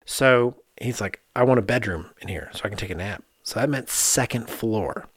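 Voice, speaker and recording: A man's voice: fairly low pitch, normal speed, breathy edge, no echo. Casual male podcast host, dry close sound. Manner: animated storyteller, quotes people in anecdotes.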